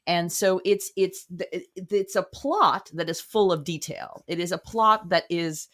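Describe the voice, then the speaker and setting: A woman's voice: lowish voice, a little slow, a bit bright. A woman talks conversationally as a guest in an interview. She is effusive and leans on her words with emphasis, like a writer explaining her craft. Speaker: guest, playwright.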